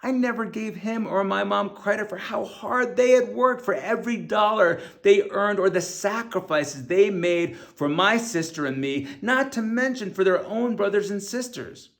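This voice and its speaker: A middle-aged man reads a scripted personal memoir aloud. His voice sits a little high for a man and carries heavy creak and fry. The delivery is earnest and reflective, as an author narrating his own book. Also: moderate pace, hardly any pauses, fairly bright tone.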